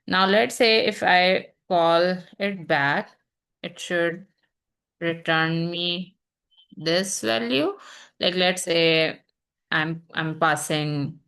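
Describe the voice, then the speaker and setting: A young woman teaching programming in an unhurried, think-aloud way, pausing and restarting as she types examples. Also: on the low side, steady tone, gravelly, a bit bright, smooth. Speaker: programming instructor. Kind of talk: screen-recorded coding tutorial.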